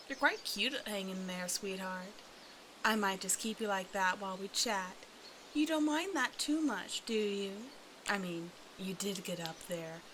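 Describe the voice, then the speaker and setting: A woman's voice: medium pitch, close to an everyday voice. Smug, teasing snake woman, acted by a woman, noisy backdrop. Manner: teasing, condescending, mock-sweet pet names. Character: hungry female naga, half snake, teasing, smug, playfully predatory. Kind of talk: ASMR roleplay audio drama, addressing the listener.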